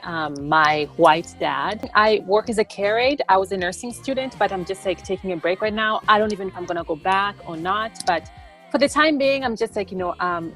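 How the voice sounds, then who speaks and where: A young woman from Tanzania answering interview questions on a video call in an earnest, halting way that often repeats words. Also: on the low side. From born in Tanzania. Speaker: interview guest, TikTok educator.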